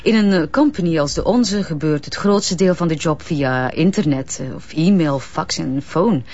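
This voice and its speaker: An actress playing a brisk, self-important businesswoman with a Flemish accent, sprinkling in affected English jargon, in a naturalistic radio drama. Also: sits low, animated, darkish tone, lo-fi sound. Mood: businesslike, impatient. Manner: hesitant ehs, affected English business words.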